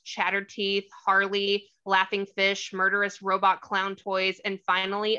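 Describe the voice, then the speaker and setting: Bright-voiced woman narrating a screenplay. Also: mid-range voice. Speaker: stage directions narrator. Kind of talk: scripted narration, screenplay table read. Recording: slightly dull lo-fi audio.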